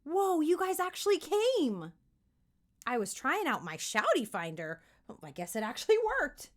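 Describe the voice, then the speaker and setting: Casting: adult woman as a seven-year-old cartoon fox boy, high and swooping, playful, curious, bursting with wide-eyed wonder. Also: big pitch swings, measured pace. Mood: surprised, excited.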